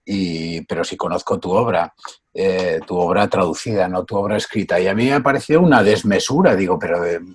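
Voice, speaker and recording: Husky low-voiced man, hesitant. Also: a little fast. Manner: hesitant, searching for words with many fillers. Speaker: book club participant. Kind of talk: casual discussion. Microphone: video call.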